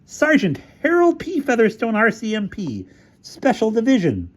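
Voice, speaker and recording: A man voicing an indignant, hot-headed police sergeant in a storybook, shrill, emphatic and accusing. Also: big pitch swings, unhurried, darkish tone, full character voice.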